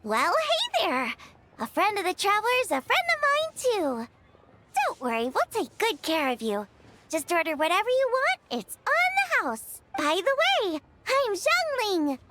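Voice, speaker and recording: A woman's voice: very high-pitched, huge pitch swings, unhurried, heavy background noise, exaggerated voice. An actress voicing a cheerful, friendly young girl cook in a video game, chatty and welcoming.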